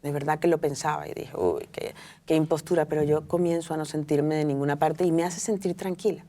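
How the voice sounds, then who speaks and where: A woman's voice: low voice, fairly even intonation, fairly quick, mellow. A middle-aged woman, speaking reflectively as a guest in an interview, often restarting her sentences. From Venezuela. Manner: reflective, frequent restarts and fillers. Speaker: author and guest. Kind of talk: interview conversation.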